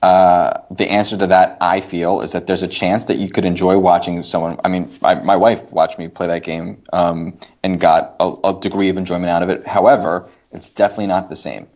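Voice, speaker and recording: Very deep-voiced man talking casually. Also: flat delivery, a little fast, hardly any pauses, darkish tone. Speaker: podcast guest. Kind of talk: casual opinionated discussion. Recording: dull lo-fi podcast audio.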